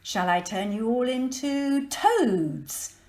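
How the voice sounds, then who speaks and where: Woman playing a loud, wicked pantomime witch. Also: on the high side, expressive, reverberant, voice pushed up. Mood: gleeful menace. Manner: theatrical, loud, drawn-out commanding delivery. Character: wicked cackling pantomime witch, gleefully menacing and bossy. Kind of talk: children's storybook character reading.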